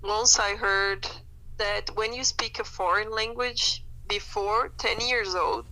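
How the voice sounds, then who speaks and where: A woman's voice: mid-range voice, fairly even intonation, slow, sharp tone, poor audio. A young woman, a guest chatting thoughtfully on a podcast with many fillers. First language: Portuguese. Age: young adult. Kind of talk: casual chat.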